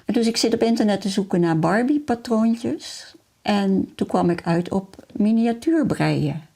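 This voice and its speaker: A woman's voice: medium pitch, animated, a little slow, creaky, warm tone. A middle-aged woman talking about her hobby and memories in a personal interview, as a hobbyist. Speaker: miniature-making hobbyist being interviewed.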